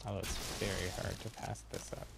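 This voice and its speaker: A man's voice: low-pitched, level tone, brisk, gravelly, very noisy. A man casually commentating on his gameplay as a streamer, with playful mock exasperation. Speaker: game streamer. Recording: loud game music behind voice. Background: loud game music.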